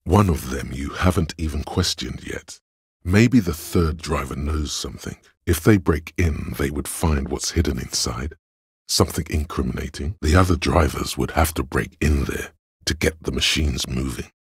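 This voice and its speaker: A very deep, gravelly voice of logic. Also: male voice, dry recording, studio quality, heavily acted. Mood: probing, deductive. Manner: measured, deliberate, dryly analytical. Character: cool, analytical inner voice of Logic, dry and superior. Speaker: video game voice actor. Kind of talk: dramatic video game narration.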